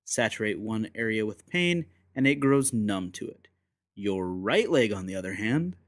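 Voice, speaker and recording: Coolly menacing gentleman agent, acted by a man, dry sound. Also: fairly low pitch, expressive, no echo. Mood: controlled anger. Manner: deliberate, courteous menace. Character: ruthless, coolly menacing gentleman secret agent interrogating a prisoner. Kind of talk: dramatised audiobook acting.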